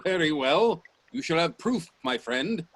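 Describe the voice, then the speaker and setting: An actor playing a confident, eccentric professor and inventor in a radio play, assured, formal and grandly insistent. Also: male voice, fairly high pitch, expressive, measured pace, a bit bright, low-quality recording.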